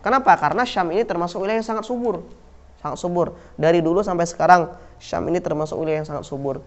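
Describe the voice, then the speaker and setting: A man's voice: sits high, fast talker. A man with an Indonesian accent, teaching a class on Islamic history, who repeats key words and asks and answers his own questions, with frequent fillers like "nah". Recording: dull lo-fi recording.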